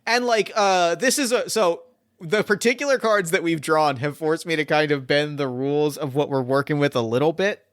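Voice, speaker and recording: A man's voice: fairly high pitch, moderate pace, no room sound, clean studio sound. A man running a tabletop murder mystery game as game master, talking casually and rambling with many fillers and restarts.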